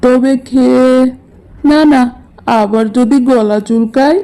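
Cartoon husband, played by a woman: high-pitched, mellow, grumbling, sheepish villager who mutters and exclaims. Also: takes it slowly. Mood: tempted. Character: grumbling, food-loving village husband, sheepish son-in-law. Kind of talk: Bengali cartoon folk-tale acting.

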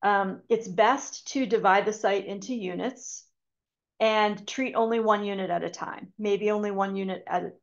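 A woman's voice: medium pitch, creaky. Hesitant woman lecturing on a video call. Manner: hesitant, frequent ums and restarts. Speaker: conservation speaker giving a talk. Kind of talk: webinar lecture with slides. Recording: dull lo-fi video call audio.

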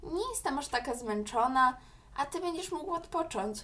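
Woman as a caring, curious high-voiced girl. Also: animated, normal speed, a bit bright. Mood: concerned. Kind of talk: children's audio story.

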